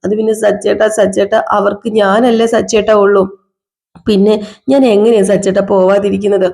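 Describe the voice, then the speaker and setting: A woman's voice: little pitch movement, a little fast, gravelly, darkish tone, close to an everyday voice. A woman voicing a young wife who pleads with and apologizes to her drunk husband, her voice rising as she begs. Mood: pleading. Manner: pleading, repeats husband's name, rises in pitch. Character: pleading young wife, tearful, devoted to family. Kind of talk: one-person domestic drama skit.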